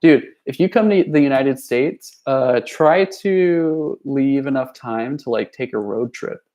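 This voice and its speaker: A man's voice: mid-range voice, measured pace, mellow. A young man chatting casually on a livestream, rambling with lots of fillers and restarts, and reading out viewer messages. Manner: reads viewer chat messages aloud. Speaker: youtuber.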